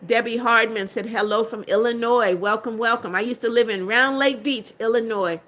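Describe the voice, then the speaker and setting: A woman's voice: on the high side, steady tone, moderate pace, fairly bright tone. An older woman hosting a livestream, reading out chat comments and greeting viewers in a firm, strict way. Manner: strict, firm about blocking spammers. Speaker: livestream host.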